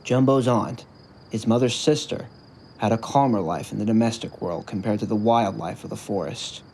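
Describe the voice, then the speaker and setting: A man's voice: fairly low pitch, normal speed, vocal fry, a little mellow, a little hiss or hum. A man narrating a scripted story for an audio drama. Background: music.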